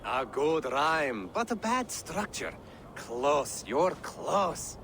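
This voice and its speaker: A man's voice: fairly high pitch, big pitch swings, drawn-out words, a bit bright, a little hiss or hum, exaggerated voice. A man playing a jovial, witty Viking poet in a video game, theatrical and lilting. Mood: encouraging, instructive. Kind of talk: theatrical video game acting, declaiming rhymes.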